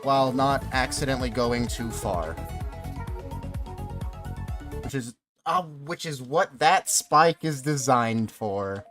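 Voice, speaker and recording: A man's voice: medium pitch, a little slow, fairly bright tone. A man in his twenties chatting casually and rambling as he streams a game, swearing now and then. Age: 20s. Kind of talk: casual livestream chat while playing. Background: game music.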